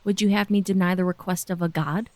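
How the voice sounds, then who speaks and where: A woman playing an earnest, wary villager in a sci-fi audio drama, speaking deliberately. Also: lowish voice, fairly even intonation, darkish tone, no echo, lightly acted. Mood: insistent.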